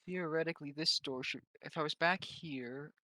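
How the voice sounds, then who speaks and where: A man's voice: a little high, normal speed, sharp tone, no room sound. A man chatting casually as a player in a tabletop roleplaying game, playful and often restarting his sentences.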